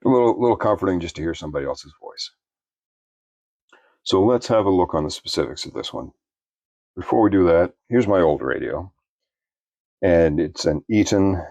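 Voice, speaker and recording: A man giving an unscripted product review in a plainspoken, measured, explanatory way. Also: sits low, a little mellow, dry recording.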